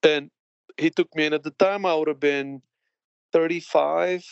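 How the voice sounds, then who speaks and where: A man from Bulgaria in his late thirties, a podcast guest telling his story in a chatty, laughing way with halting restarts. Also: on the high side, steady tone, vocal fry, clean tone, no echo, lo-fi sound. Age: late 30s. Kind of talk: casual interview chat.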